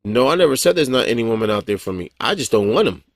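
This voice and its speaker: A man's voice: medium pitch, big pitch swings, fast, no room sound, clean studio sound. A man in his forties giving a casual, rambling monologue as a vlogger, blunt and profane, with frequent chuckles and restarts. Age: 40s.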